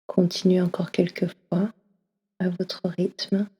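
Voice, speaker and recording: A woman leading a guided yoga nidra session, speaking slowly and softly, often slipping into a half-whisper. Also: lowish voice, level tone, breathy edge. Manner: slow, soft, often half-whispered delivery. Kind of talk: guided meditation. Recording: long reverb as in a hall.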